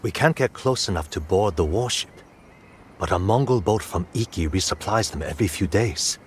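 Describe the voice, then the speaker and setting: An actor playing a pragmatic raider lieutenant in a game cutscene, with a dry, matter-of-fact delivery. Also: male voice, sits low, lively intonation, normal speed, slight background noise. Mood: businesslike. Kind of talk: video game cutscene acting.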